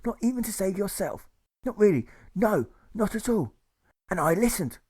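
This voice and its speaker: Anguished young-hearted soldier voiced by a male narrator, high-pitched, imploring, emphatic, storybook drama. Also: expressive, moderate pace, a little mellow, breathy edge. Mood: pleading. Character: anguished, pleading soldier, faltering and aggrieved.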